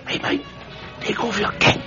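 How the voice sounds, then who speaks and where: An actor playing a belligerent cinema-goer picking a fight in a classic radio drama. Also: male voice, unhurried. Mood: menacing. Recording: muffled old radio, loud music behind.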